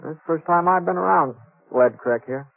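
A man's voice: fairly high pitch, vocal fry. A man playing a modest, courteous drifting gunslinger in a 1950s radio western, speaking hesitantly and folksily. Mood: hesitant, polite. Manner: hesitant, halting, folksy drawl in phrasing. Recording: dull old 1950s radio recording.

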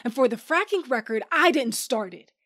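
A woman's voice: a little high, lively intonation, moderate pace, a bit bright. A woman playing a brash, feisty young starship pilot in an audio drama, punchy and cocky. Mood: urgent.

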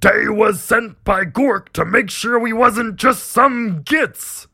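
A man voicing a brutish, excitable orc warband captain in an acted fantasy story, bellowing in crude broken grammar. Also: mid-range voice, slow pace, vocal fry, breathy edge, dry recording, studio quality, exaggerated voice. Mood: confident, gloating.

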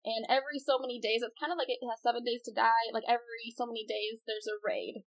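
Female podcast co-host, slightly high voice, rambling casual gamer chat. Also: fairly even intonation, fast. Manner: chatty, rambling, laughs often.

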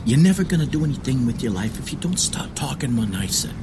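A woman's voice: very deep voice, big pitch swings, fast. A woman playing a streetwise, loyal younger sibling in an audio drama, blunt and slangy. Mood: scolding, caring. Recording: clearly noisy, vehicle-like background.

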